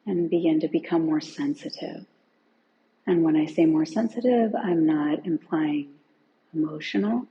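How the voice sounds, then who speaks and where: Low, creaky-voiced woman guiding relaxation. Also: lo-fi sound, slight background noise. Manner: gentle, unhurried with long pauses. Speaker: meditation and yoga teacher. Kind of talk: guided relaxation instruction.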